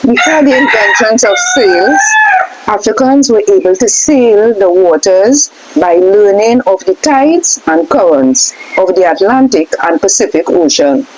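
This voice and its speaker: A woman's voice: mid-range voice, lively intonation, a little slow. A woman reading aloud from a book in a question-and-answer format, sometimes restarting her phrases. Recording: faint hiss in background.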